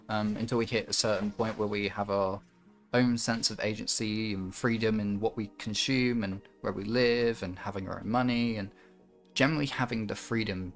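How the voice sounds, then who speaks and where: A livestream host chatting casually and musing aloud in an earnest, rambling, reflective way. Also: medium pitch. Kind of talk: casual chat and reflective monologue to a livestream audience. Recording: music behind the voice. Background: music.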